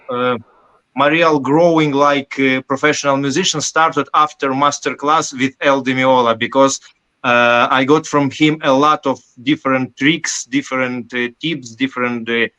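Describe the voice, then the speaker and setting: A man from Ukraine, a guest musician, answering interview questions in halting with many pauses. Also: mid-range voice, fairly even intonation, bright, forceful tone, low-quality recording. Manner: halting non-native English with many pauses.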